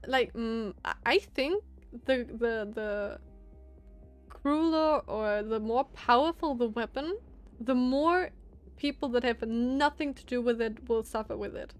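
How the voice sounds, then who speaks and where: A woman with a high voice streams a visual novel. She moves between read-aloud narration and casual commentary, thinking aloud hesitantly over faint background music. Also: a little slow.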